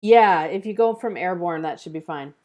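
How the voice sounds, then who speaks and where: A woman's voice: lowish voice, moderate pace, crowd noise. A woman chatting casually and informally on an online group voice call. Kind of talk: casual chat on an online table read. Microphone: voice call over Discord.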